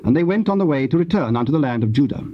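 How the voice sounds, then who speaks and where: A man narrating scripture in a solemn, measured, formal style as part of an audio drama. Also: fairly high pitch, animated, lightly acted. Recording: muffled hissy old cassette tape.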